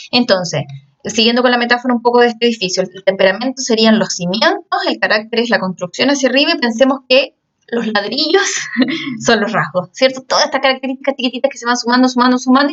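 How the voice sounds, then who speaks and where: A woman's voice: on the high side, fast talker, a bit bright, distant mic, highs cut off. A woman teaching a class, explaining step by step and checking in often with her students. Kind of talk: recorded class lecture.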